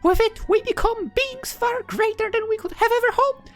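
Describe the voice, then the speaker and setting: A man's voice: very high-pitched, moderate pace, a bit bright, soft background music, pitched above the performer's own voice. A man voicing a stern, devout female visual-novel character who speaks in a solemn, grandiose, admonishing way. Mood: reverent.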